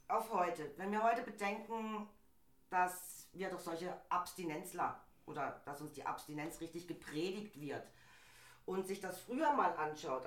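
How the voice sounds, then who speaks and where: Middle-aged woman, bit hoarse from a cold, chatty and opinionated, echoey room. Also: on the low side, fairly bright tone. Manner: chatty, opinionated, laughs easily. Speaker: podcast co-host presenting a topic. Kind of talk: casual opinion chat.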